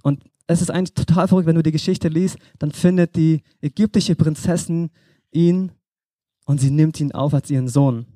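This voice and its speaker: A man's voice: fairly high pitch, steady tone, moderate pace, warm tone, no room sound, clean studio sound. A young man from Germany preaching an informal sermon, talking conversationally and addressing the listener directly.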